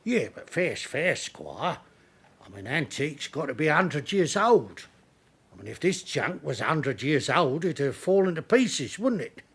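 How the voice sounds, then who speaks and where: A sly London second-hand dealer in a radio drama. His voice sits fairly high and moves about a lot, wheedling with mock respect and cheeky evasion. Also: very animated, unhurried, a bit bright, husky, exaggerated voice. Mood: evasive. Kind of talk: British radio drama acting.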